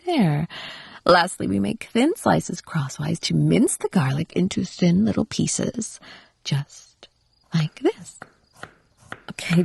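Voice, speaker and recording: A woman playing a possessive, doting yandere wife in an intimate ASMR roleplay, breathy and often slipping into a whisper as she coaxes and instructs. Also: fairly low pitch, no echo, clean studio sound, full character voice. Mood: sweetly instructive. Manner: coaxing, doting, softly instructive. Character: possessive, doting yandere wife training her captive husband.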